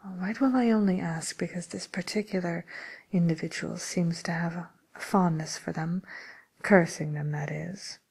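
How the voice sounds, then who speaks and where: A woman playing a genteel, businesslike matchmaker for villains in a whispered ASMR role play, murmuring politely and hesitantly in a breathy voice. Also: fairly low pitch, normal speed, darkish tone, full character voice. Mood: politely helpful.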